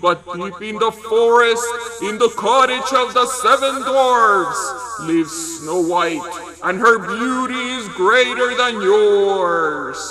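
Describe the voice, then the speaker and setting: A man playing a slow, solemn magic mirror. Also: sits high, expressive, crowd noise, poor audio. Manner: measured, formal recital. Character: magic mirror, solemn, truthful servant of a queen. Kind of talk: children's storybook play with dolls.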